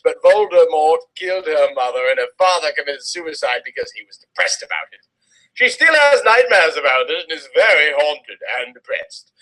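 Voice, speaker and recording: A man's voice: fairly high pitch, pushed and bright, low-quality recording. A man giving a theatrical, exaggerated and melodramatic dramatic reading of a story. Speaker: dramatic reader of fan fiction.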